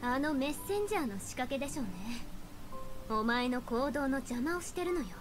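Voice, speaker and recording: High-voiced commanding young seer. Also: female voice, animated. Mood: matter-of-fact. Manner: formal, measured, authoritative. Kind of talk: anime game voice acting. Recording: game music behind the voice.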